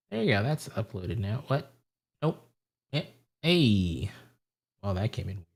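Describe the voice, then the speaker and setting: A man hosting a casual live stream, greeting viewers and rambling aloud while he fiddles with settings. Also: fairly low pitch. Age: adult with a wife and family.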